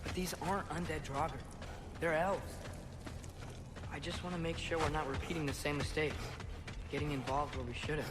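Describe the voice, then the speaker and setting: Game role: male actor as earnest American teen son, slightly high voice, casual and searching, sympathetic. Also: fairly even intonation, normal speed, pauses often, heavy background noise, close to an everyday voice. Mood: doubtful, questioning. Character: earnest, compassionate, questioning teenage boy. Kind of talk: video game dramatic dialogue.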